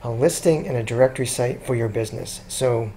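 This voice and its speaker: Guy doing a chill screen recording walkthrough, warm low-key voice, lots of ums, bit of hiss. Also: brisk. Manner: relaxed, chatty, many ums and restarts. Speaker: SEO consultant pitching a business.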